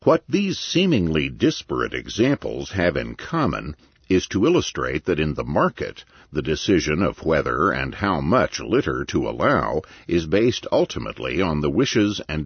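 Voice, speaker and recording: A man with an extremely low, husky voice narrates a nonfiction audiobook in a deliberate, measured way. His intonation moves widely, leaning firmly on key words. The recording is a little dull and lo-fi. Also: dry recording. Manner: measured, deliberate, emphatic phrasing. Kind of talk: scripted narration of a nonfiction essay.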